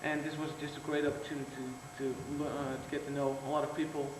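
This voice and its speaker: A teenage boy from the Netherlands introducing himself in halting speech, pausing on fillers. He is heard from some distance in an echoey room with a steady noisy background. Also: a little high. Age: teens. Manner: hesitant, many fillers and restarts. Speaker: exchange student. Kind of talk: short self-introduction speech.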